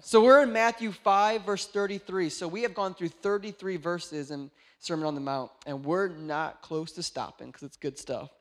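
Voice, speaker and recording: A man in his late twenties preaching a sermon in a church, joking and telling animated stories. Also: high voice. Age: 20s. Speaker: pastor.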